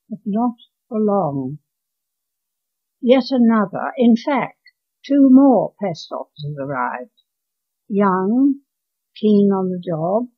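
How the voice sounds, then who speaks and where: A woman in her nineties with an English accent reads her own story aloud in measured, deliberate phrases. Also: mid-range voice, slightly rough. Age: 90s. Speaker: author reading own story. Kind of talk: scripted storytelling, read aloud. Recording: dull lo-fi home recording.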